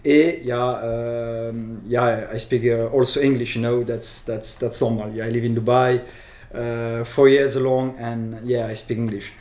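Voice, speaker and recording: Man with a Luxembourgish accent, halting. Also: mid-range voice, flat delivery, darkish tone, reverberant. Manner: halting, restarts phrases often. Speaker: vlogger answering fan questions. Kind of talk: casual Q&A chat.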